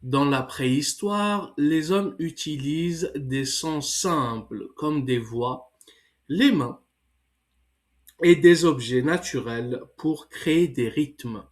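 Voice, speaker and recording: A man with a cold, slowly reading a text for learners and then explaining exercises in English with many hesitations. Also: fairly high pitch. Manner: slow, careful reading with hesitant fillers. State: has a cold. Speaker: French teacher.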